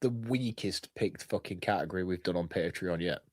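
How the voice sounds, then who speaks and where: A man co-hosting a film podcast, opinionated and teasing, swearing casually in relaxed chat. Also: deep voice, normal speed, dry recording, studio quality. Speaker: podcast co-host.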